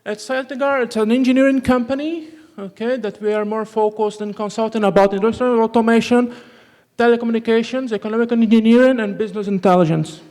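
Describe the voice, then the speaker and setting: A man's voice: very high-pitched, fairly even intonation, a little mellow. Brazilian man presenting in a reverberant hall. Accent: Brazilian Portuguese. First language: Portuguese. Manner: halting, restarts words, tags sentences with "okay". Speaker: conference presenter. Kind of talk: technical conference talk.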